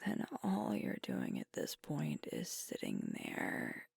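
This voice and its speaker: Casting: female actor for protective werewolf girlfriend, ASMR audio. Low, hushed, breathy delivery; soothing, reassuring, gently firm. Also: wildly swooping pitch, no room sound, clean studio sound, full character voice. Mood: coaxing, firm. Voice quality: breathy whisper. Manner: soothing, coaxing, reassuring, deliberate pauses. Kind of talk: whispered ASMR girlfriend roleplay.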